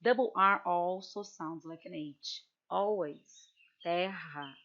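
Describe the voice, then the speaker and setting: A Brazilian woman who speaks English with a Brazilian Portuguese accent, teaching in an unhurried, measured way. Her voice sits a little low for a woman. She patiently repeats example words on dull, lo-fi audio. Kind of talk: online language workshop.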